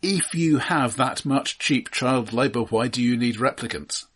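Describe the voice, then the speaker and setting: A man's voice: mid-range voice, unhurried, a bit bright, husky. A man in casual film podcast conversation, with hesitant starts and dry understatement. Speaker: film discussion podcast host. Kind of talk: casual film review chat.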